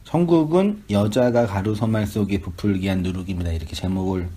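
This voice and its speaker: A man, a pastor, leading a small-group Bible study in a relaxed, chatty way, asking the group questions and laughing easily. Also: lowish voice, brisk. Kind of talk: casual Bible study teaching.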